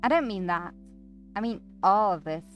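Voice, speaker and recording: Woman doing a glum loner guy from a visual novel, grumbly, flat, bit of music under it. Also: mid-range voice, moderate pace, highs cut off, natural voice. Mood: glum, guarded. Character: gloomy, withdrawn man with a rough past. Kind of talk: first-person visual novel acting.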